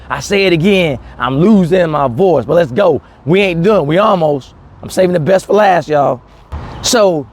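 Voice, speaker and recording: Man, slightly high voice, emphatic, enthusiastic vlog host, outdoor ambience with faint vehicle noise. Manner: excited, emphatic, repeats key words for effect. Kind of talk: casual, enthusiastic talk to camera.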